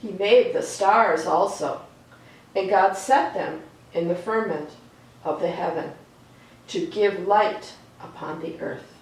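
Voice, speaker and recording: A woman reading aloud for a children's storytime in a slow, deliberate, expressive way. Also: fairly low pitch. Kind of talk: read-aloud storytime with chatty asides. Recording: echoey room, distant mic, faint noise.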